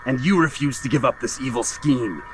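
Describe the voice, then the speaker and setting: A man acts out a principled, defiant assassin in a video game drama. His mid-pitched voice is terse and earnest, that of a betrayed student standing firm. Noticeable noise runs behind him. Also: moderate pace, lightly acted. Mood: resolute. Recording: noisy game cutscene audio.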